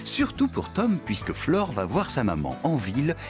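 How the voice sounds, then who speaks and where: A man's voice: medium pitch, huge pitch swings, moderate pace, over music. A man playing a friendly storyteller, narrating a farm cartoon for children. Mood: friendly, storytelling.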